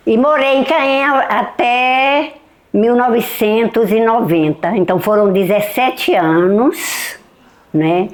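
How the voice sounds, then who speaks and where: A woman's voice: medium pitch, darkish tone. A woman, a retired teacher thoughtfully recalling her life in a documentary interview. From Bahia, Brazil. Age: 70s. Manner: reflective, frequent tag questions and restarts. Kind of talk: documentary testimony, reminiscing.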